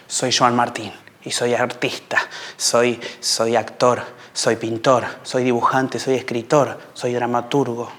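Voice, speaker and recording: A male actor plays an intense, self-dramatizing male artist with a Buenos Aires accent and an insecure core. He speaks in an improvised stage monologue style, circling back on his phrases for dramatic weight. His voice sits at an average pitch for a man. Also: a bit bright, lightly acted. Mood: solemn, self-important. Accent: Buenos Aires Argentine. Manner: theatrical, repetitive, dramatic emphasis. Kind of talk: improvised theatrical character monologue.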